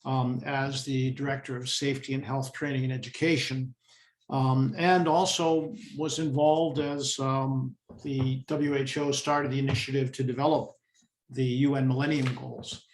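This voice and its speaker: An American man in his sixties, a vice president of a safety institution, introducing himself in a measured way with frequent pauses over a video call. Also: mid-range voice, little pitch movement, reverberant, slight background noise, low-quality recording. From United States. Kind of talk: brief self-introduction.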